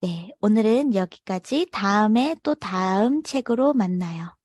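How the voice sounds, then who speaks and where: A woman's voice: mid-range voice, expressive, racing, darkish tone, dry recording, clean studio sound. A woman reading a picture book aloud to children as a storytime host, leaning on key words as she tells it. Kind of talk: expressive picture-book reading with short asides to young listeners.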